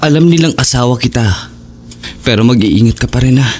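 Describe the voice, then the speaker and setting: An actor playing a calm, reassuring husband and father in an audio horror drama. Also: mid-range voice, a little fast, close to an everyday voice.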